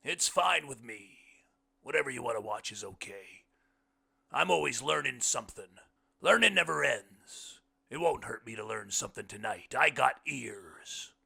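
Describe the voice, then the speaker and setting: A man's voice: medium pitch, lively intonation, measured pace, creaky, pushed and bright, pitched above the performer's own voice, full character voice. A man voicing an easygoing, curious, bearded blind houseguest in an audiobook, with an emphatic, folksy way of talking. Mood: easygoing, assuring. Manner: emphatic, folksy, calls listener bub. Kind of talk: audiobook character voice.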